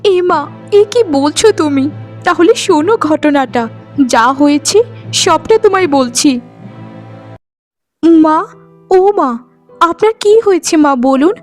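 A gentle young daughter-in-law in a melodramatic cartoon voiced by a woman. She pleads earnestly and respectfully in a high voice over faint music. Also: expressive, a little slow, long pauses. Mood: hurt, pleading.

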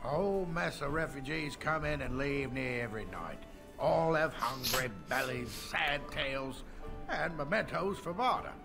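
An actor playing a gruff, grumbling middle-aged male village innkeeper in a fantasy video game, blunt in manner. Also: fairly high pitch, expressive, a little slow, music in the background.

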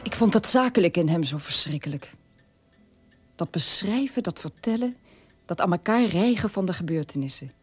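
An actress playing a sober middle-aged daughter of a war criminal, telling her past in a measured radio-play monologue with a husky voice. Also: lowish voice, expressive, no echo, faint music behind. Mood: sober, reflective.

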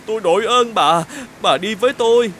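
A man voicing a humble village husband in an animated folktale, deferential and pleading in manner. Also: sits high, brisk, a little hiss or hum. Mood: desperate pleading.

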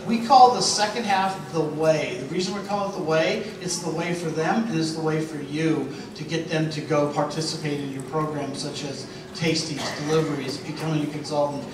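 A middle-aged man coaches a convention crowd in a confident, persuasive way, his voice a touch higher than most men's. It comes from far back in a big, booming hall with steady noise underneath. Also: moderate pace, hardly any pauses. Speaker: panel host at a sales convention. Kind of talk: panel discussion. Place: large convention hall stage. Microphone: handheld mic. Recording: echoey hall, recorded from far off, noisy.

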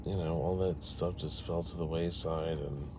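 A man's voice: a bass voice, steady tone, normal speed. A man in his forties chatting casually and rambling to his live stream viewers while driving at night. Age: 40s. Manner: chatty, self-deprecating, talks to viewers. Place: inside a car driving city streets at night. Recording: road and engine noise behind.

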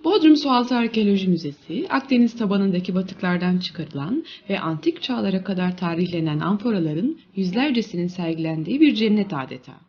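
A woman's voice: medium pitch, lively intonation, brisk, few pauses, slightly rough, muffled recording. A woman reading a scripted museum tour narration as a documentary guide.

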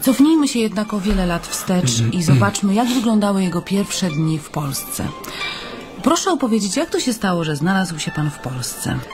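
An actress playing an earnest, polite young Polish émigré journalist in a naturalistic radio drama. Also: female voice, mid-range voice, very animated, normal speed, music in the background, natural voice. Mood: formal.

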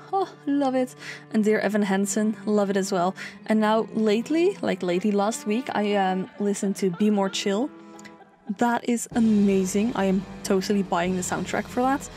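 A young woman chatting casually over a build, rambling with lots of fillers. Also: darkish tone. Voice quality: low singing voice. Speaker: sims speed builder.

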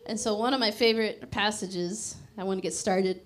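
A woman's voice: mid-range voice, fairly even intonation, moderate pace. A woman, preaching a conversational, emphatic sermon into a handheld mic on a church stage. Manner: conversational, emphatic, drops to a hushed prayer.

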